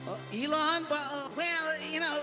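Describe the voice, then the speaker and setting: A man's voice: extremely high pitch, steady tone, normal speed. An actor playing a rambling, pushy male caller in radio comedy, stammering and wheedling as he tries to keep a deal alive. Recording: long hall reverb with loud music behind.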